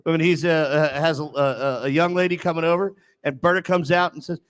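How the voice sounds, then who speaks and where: A man hosting lively group banter, teasing and playfully stern as he keeps things moving. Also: fairly high pitch, brisk. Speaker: host running a quiz-style segment.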